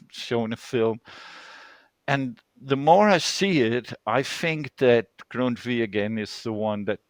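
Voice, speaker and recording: Older male storyteller, Danish accent, mid-pitched, conversational and searching for words, dry online-call sound. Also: no echo. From Denmark. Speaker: guest storyteller.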